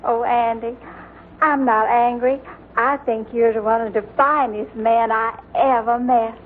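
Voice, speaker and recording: A woman's voice: on the high side, steady tone, measured pace, a little hiss or hum, lightly acted. An actress playing a devoted, wistful secretary in an old radio sitcom, lingering sentimentally over her words. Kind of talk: 1940s-50s radio sitcom acting. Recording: tinny vintage radio with faint audience.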